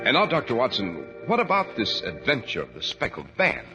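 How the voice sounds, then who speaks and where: A man's voice: mid-range voice, huge pitch swings, a little fast, loud music behind the voice. An American man announcing and hosting a scripted 1940s radio show in a polished, emphatic way. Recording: noisy muffled 1940s radio recording.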